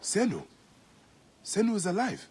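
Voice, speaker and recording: A man's voice: sits high, sing-song, measured pace, very noisy. An actor playing a determined, vengeful warrior and tender father in a video game cutscene. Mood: relieved, fond.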